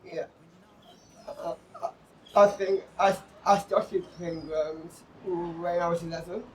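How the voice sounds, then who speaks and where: Male interviewee, very slow and halting, repeats his openings, distant outdoor mic. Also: on the high side, fairly even intonation, darkish tone, a little hiss or hum. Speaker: actor and drummer in a stage play being interviewed. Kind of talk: casual on-location interview. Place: outdoors on a sea front. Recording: distant mic outdoors, faint music behind.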